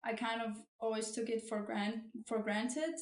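Woman interviewer, halting, fillers, flat even tone, roomy echo. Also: fairly high pitch. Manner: hesitant, many restarts and fillers. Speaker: interviewer host. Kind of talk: casual interview chat.

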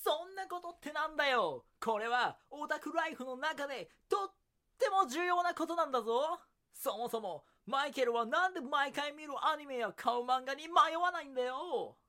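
Comic radio ad: earnest, fretful male otaku played by a woman, bright high voice. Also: expressive, moderate pace. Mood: fretful, indignant. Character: fretful male anime fan named Tom. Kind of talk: scripted comedic radio ad skit.

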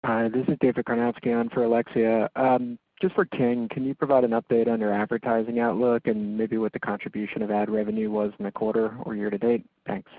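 A man asks an analyst's question in a flat, medium-pitched voice. He is polite and businesslike on an earnings call. The line is dry and phone-like. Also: brisk, few pauses, dry recording. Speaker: financial analyst caller.

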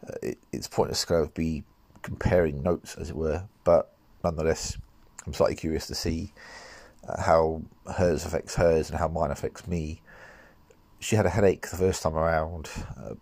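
A deep-voiced man in middle age, sounding nasal and a little worn from a cold. He talks in a measured, rambling way, often correcting himself as he goes. The recording is dry and close, with no room sound. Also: fairly even intonation, vocal fry, a little mellow, no echo. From United Kingdom. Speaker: podcast host. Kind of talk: solo podcast monologue.

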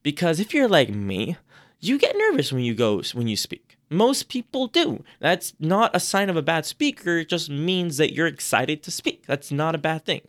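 A man giving casual advice on public speaking on a podcast, chatty and rambling, restarting his sentences often, his voice a little hoarse. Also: a little high, wildly swooping pitch, moderate pace, a bit bright. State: slightly hoarse. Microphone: podcast microphone.

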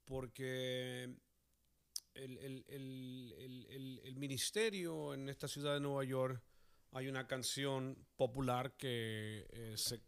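Slow, smooth-voiced Chilean pastor. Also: male voice, mid-range voice, fairly even intonation. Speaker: pastor, interview guest. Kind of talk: reflective conversational testimony.

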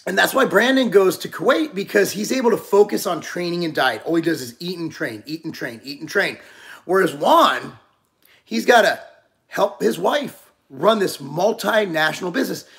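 A man in his forties talks in a loose, rambling way, restarting his sentences as he chats with a co-host. His voice sits high for a man and has a gravelly edge. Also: lively intonation, normal speed, a bit bright. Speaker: podcast co-host. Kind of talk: casual chat.

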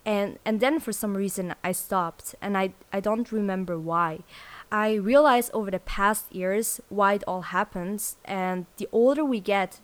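Young woman, solo podcast host, earnest and chatty, mid-pitched voice. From born in South Korea, grew up in Switzerland. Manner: earnest, lots of "you know" fillers.

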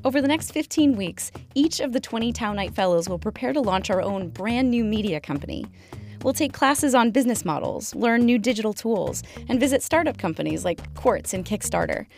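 Higher-voiced woman narrating a podcast. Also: animated, fairly quick, vocal fry, darkish tone, soft background music. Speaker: podcast host. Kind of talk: scripted narration.

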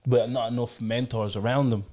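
A young man, a videographer guest, laid-back and soft-spoken in casual chat. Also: medium pitch, steady tone, normal speed.

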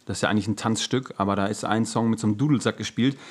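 A man's voice: on the low side, steady tone, racing. A man co-hosting a podcast, chatting casually in a loose, slangy way with trailing asides. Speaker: podcast co-host. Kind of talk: casual chat.

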